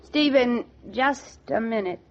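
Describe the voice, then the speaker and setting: A woman's voice: a little high, takes it slowly. An actress playing a stern, domineering older lady in a 1940s radio drama, scolding in an imperious, theatrical way. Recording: dull vintage 1940s radio recording.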